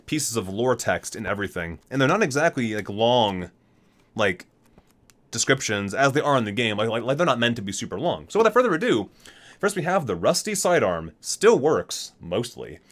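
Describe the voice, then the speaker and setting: A man hosting an ASMR unboxing, chatting casually and reading aloud, rambling, correcting himself and marveling at small details. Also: lowish voice. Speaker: video host, gaming fan.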